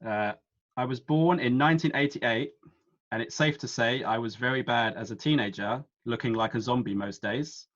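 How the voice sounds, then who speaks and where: A man's voice: mid-range voice, a bit bright. Man reading quiz clues over lo-fi video call. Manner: reads each clue slowly twice. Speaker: quiz host.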